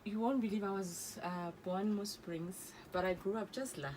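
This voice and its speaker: A woman's voice: mid-range voice, moderate pace, darkish tone, a little hiss or hum, low-quality recording. A South African woman in her thirties, speaking earnestly as a guest on a radio interview and switching languages mid-sentence. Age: 30s. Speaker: guest, author and evangelist. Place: radio studio.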